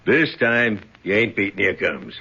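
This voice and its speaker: A sneering male gangster henchman mocks the prisoners in tough-guy slang. His voice is husky and sharp-edged, and pitched a little low. It sounds muffled, like an old radio broadcast. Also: sing-song, unhurried, exaggerated voice. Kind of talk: 1970s radio drama acting.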